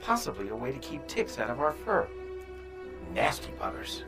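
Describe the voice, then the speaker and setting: A man's voice: on the high side, very animated, normal speed, over music. A man playing a wry, kindly rabbit doctor aboard a starship in a sci-fi audio drama, muttering expressively.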